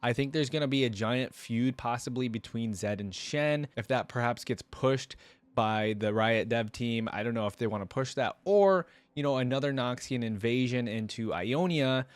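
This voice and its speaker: A man's voice: mid-range voice, normal speed, no echo. Casual man reacting, dry close sound. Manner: casual, informal, often asks viewers questions. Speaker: reaction video host.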